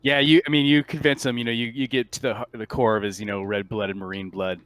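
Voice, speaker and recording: A man running a tabletop roleplaying game as game master, talking in a casual, halting, conversational way. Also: medium pitch, little pitch movement, fairly bright tone, no echo.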